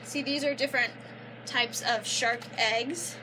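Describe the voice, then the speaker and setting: A young woman reading a children's picture book aloud like a teacher, pausing to explain and prompt with questions. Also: fairly high pitch, fairly even intonation, noisy background. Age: young adult. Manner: instructive, prompting listeners with questions and affirmations.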